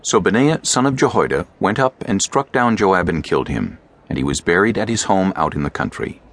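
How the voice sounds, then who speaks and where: A man narrating scripture as a scripted audiobook, in a measured, solemn storytelling manner. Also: low voice, animated.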